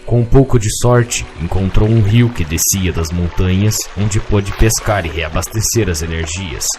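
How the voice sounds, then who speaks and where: A man with a Brazilian accent narrating a scripted fantasy story in a measured, literary way. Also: deep voice. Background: music.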